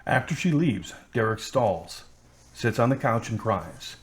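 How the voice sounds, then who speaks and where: A man's voice: lowish voice, animated, a little slow. A man reading scripted scene narration for an audio drama as its narrator.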